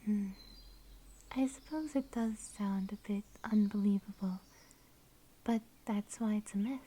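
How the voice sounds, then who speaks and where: A woman voicing a shy, sweet young werewolf girl in an ASMR roleplay, speaking in soft, breathy whispers with a gentle, tender manner. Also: mid-range voice, unhurried, lightly acted. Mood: bashful, playful. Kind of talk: ASMR roleplay, intimate storytelling.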